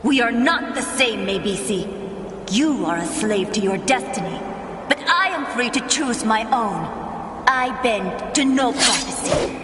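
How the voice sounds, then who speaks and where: Defiant high-voiced young warrior, echoing. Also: female voice, expressive, a little slow, noisy background. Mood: proud defiance. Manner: resolute, dramatic declarations. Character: proud defiant young warrior woman with awakening powers. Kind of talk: video game cutscene acting. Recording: echoey reverberant hall with music.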